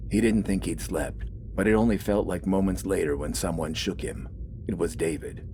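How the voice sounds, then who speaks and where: A man's voice: deep voice, steady tone, normal speed, background noise. A man reading scripted fiction as a story narrator.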